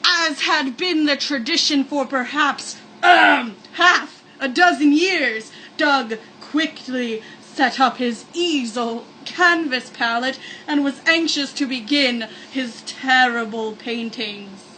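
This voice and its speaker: A woman's voice: high-pitched, slow, few pauses, bright, forceful tone, slight background noise, low-quality recording. A woman reading a story aloud in a sarcastic, mock-dramatic comic manner, with joking asides. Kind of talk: comedic read-aloud with mock-spooky asides.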